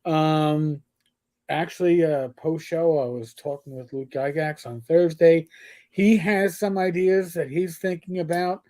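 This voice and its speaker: A man's voice: a little high, steady tone, a little slow, dark tone. Rambling middle-aged New York man. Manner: rambling, stop-start with many fillers and restarts. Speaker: podcast co-host. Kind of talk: casual livestream chat.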